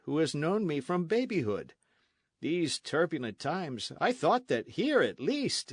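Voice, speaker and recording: Languid young gentleman voiced by a man, weary, mild, higher pitch, dry sound. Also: no echo, heavily acted.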